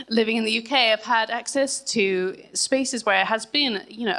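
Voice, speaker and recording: A woman in her thirties, an author, reading her own essay aloud at a book launch, measured with occasional chatty asides. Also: on the low side, gravelly, fairly bright tone.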